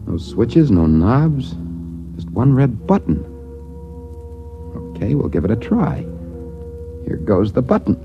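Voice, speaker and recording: An actor playing a broke man in a cheap hotel, narrating and talking with wry, flustered asides in a 1950s radio drama. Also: medium pitch. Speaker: narrator and lead character. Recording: dull old radio recording with music.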